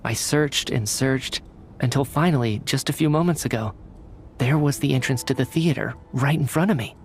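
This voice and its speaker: An actor playing an earnest young man in a video game cutscene, telling his story in a measured, dramatic way. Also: mid-range voice, slight background noise, close to an everyday voice. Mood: haunted, reflective. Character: earnest young man, a lost soul recounting his death.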